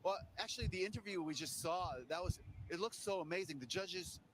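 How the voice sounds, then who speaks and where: A man co-hosting a casual live event chat from a busy hall, agreeable and halting. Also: high voice, noisy background. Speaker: co-host. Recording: loud crowd in convention hall.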